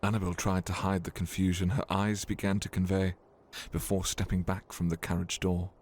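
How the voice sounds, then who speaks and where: A man's voice: low-pitched, flat delivery, moderate pace, a little mellow. A man reading scripted narration for a fantasy audio drama as its storyteller.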